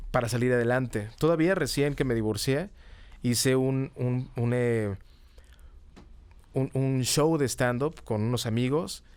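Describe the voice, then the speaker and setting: A man's voice: mid-range voice, clean tone, dry recording. Mexican man chatting in a dry recording. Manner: explanatory, often ends phrases with tag questions. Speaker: podcast guest, comedy teacher and writer. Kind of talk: casual conversational interview answers.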